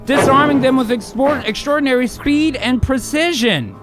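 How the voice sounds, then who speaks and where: A man with a high-pitched voice hosts and narrates an improvised radio drama. He mixes storyteller delivery with casual chat, over background music. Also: normal speed.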